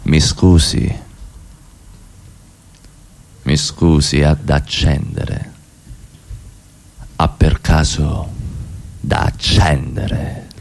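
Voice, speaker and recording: A man's voice: rumbling low, level tone, very slow, gravelly, pauses often, voice pushed down, heavily acted. An actor playing a menacing, insistent male stranger pestering a woman in a radio play. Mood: low, creeping. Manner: insinuating, insistent.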